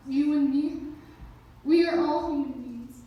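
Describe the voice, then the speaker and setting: A woman performing slam poetry live in deliberate, measured phrases. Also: high voice, steady tone, highs cut off. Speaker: slam poet. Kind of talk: spoken-word poetry performance. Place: echoey indoor event space, live performance. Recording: very echoey room, distant, faint noise.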